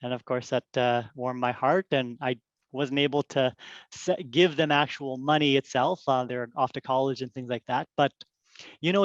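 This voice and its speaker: A middle-aged man from Korea gives a relaxed lunchtime talk to colleagues, friendly and full of ums and uhs. His voice sits at an ordinary pitch. It comes through a dull computer mic in a dry room. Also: no room sound. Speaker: presenter. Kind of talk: casual workplace presentation.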